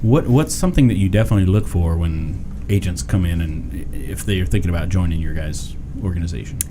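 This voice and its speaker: A man hosting a podcast interview, relaxed and casual, restarting his questions as he goes. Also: low voice, a little fast, noisy background. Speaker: podcast host.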